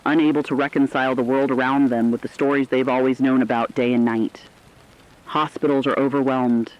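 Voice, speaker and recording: A man's voice: medium pitch, natural voice. A man playing a grave radio news correspondent, giving a formal, urgent and dramatic scripted report on a catastrophe. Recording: dull lo-fi radio-drama sound.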